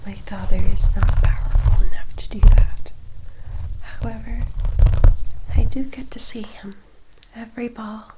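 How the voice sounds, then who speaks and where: A woman playing a sweet, dutiful young vampire sister in a whispered ASMR roleplay, speaking softly, tenderly and a little hesitantly. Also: on the high side, steady tone, natural voice, low-quality recording. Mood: wistful, gentle. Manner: soft, hesitant, tender, unhurried.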